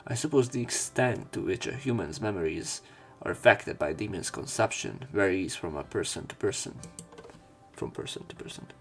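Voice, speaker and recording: A man's voice: sits low, expressive, normal speed. A man hosting a visual novel let's play, reading the text aloud with casual asides and occasional self-corrections over game music.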